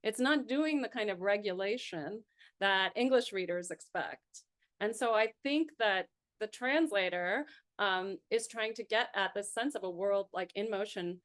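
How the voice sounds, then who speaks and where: A woman's voice: mid-range voice, normal speed. Woman leading an online poetry seminar. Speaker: seminar instructor.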